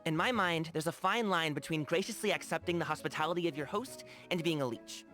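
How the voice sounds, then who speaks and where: A woman's voice: sits low, a little fast, fairly bright tone, soft background music. A woman voicing an upbeat, friendly young man in a video game, talking in a casual, slangy, enthusiastic way. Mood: earnest, friendly. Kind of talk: video game character dialogue.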